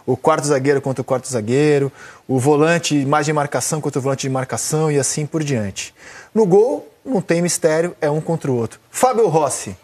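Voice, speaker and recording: A man with a Brazilian accent hosting a televised football debate, brisk, calling on each panelist by name. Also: a little high, darkish tone. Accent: Brazilian Portuguese. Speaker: TV sports show host. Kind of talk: sports panel discussion.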